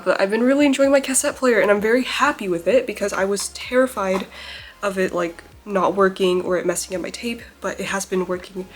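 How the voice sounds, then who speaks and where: A young woman vlogging casually to camera at home, chatty and bubbly with lots of laughs and fillers, her voice a little off from a recent illness. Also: mid-range voice. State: recovering from illness, slightly off voice. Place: indoors at home by a plain wall.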